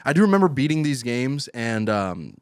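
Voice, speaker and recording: Man, podcast panelist, medium pitch, informal banter, slangy interjections, dry sound. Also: sing-song, normal speed, smooth, no echo. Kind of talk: casual debate chat.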